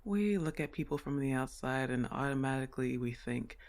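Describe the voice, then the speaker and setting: A woman's voice: extremely low pitch, lively intonation, a little fast, a little mellow. A woman playing an awkward, well-meaning sleep paralysis demon in an ASMR roleplay, talking in a hesitant, flustered and reassuring conversational way. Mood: reassuring, earnest.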